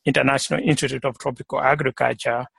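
A middle-aged man from Kenya, guest on a podcast, works through his career story in a halting way, full of fillers and restarts. His voice is strongly gravelly and husky, with a flat, level pitch. The sound is dry and close. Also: dry recording. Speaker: guest, plant pathologist. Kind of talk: conversational interview.

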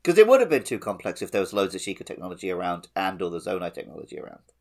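A man's voice: low voice, wildly swooping pitch, racing. A man co-hosting a podcast, chatting casually and animatedly with his opinions about a video game. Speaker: podcast co-host.

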